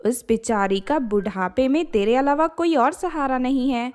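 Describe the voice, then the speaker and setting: A woman's voice: sits high, moderate pace, darkish tone, lightly acted. A woman voicing a candid, reproachful young female friend in a cartoon story. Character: frank young woman, disapproving friend of the heroine.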